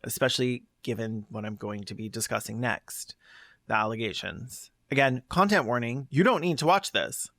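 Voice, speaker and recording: A man's voice: medium pitch, normal speed, a bit bright, dry recording. A man delivering scripted, opinionated commentary as a video essayist, with a sarcastic, scornful manner.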